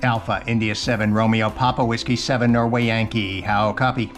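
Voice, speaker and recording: A man's voice: on the low side, fairly even intonation, fairly quick, music in the background. Upbeat man at his home radio desk. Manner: upbeat, frequent uh fillers. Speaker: ham radio operator and private pilot. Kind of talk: casual vlog narration and radio chat. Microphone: GoPro camera on the desk.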